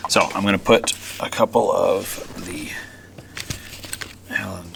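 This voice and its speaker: Low-voiced man, casual how-to host. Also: steady tone, slow pace, gravelly, background noise. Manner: informal, muttering to himself while working. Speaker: DIY video host. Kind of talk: casual hands-on how-to narration.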